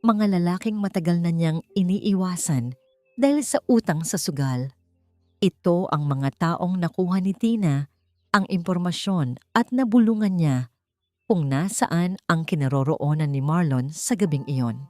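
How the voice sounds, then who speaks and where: A woman reading a dramatic scripted story in a solemn, measured, theatrical way. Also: lowish voice, dark tone, no echo. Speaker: storyteller, narrator. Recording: dry voice over background music. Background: music.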